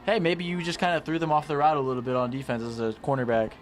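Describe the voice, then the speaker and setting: A man doing relaxed, analytical color commentary for a livestream from an outdoor stadium press box. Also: on the high side, quick pace, soft background music. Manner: easygoing, analytical, laughs along. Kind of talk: live sports commentary.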